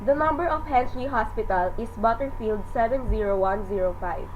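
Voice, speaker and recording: A woman's voice: on the high side, normal speed, lightly acted. A woman playing a courteous, impersonal telephone information operator in a radio drama, formal and clipped. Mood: businesslike. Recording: dull, slightly noisy recording.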